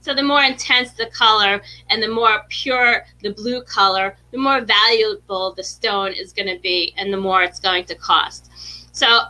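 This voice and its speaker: A woman's voice: medium pitch, steady tone, measured pace, pushed and bright, poor audio. A woman with a New York accent, hosting a live stream and teaching viewers about gemstones in a chatty way, often asking "right?". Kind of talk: live-streamed educational talk.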